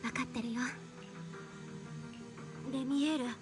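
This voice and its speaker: A woman's voice: sits high, fairly even intonation, quick pace, close to an everyday voice. A woman voicing a light, breezy young heroine in a video game. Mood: calm, breezy. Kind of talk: Japanese game voice acting. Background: game music.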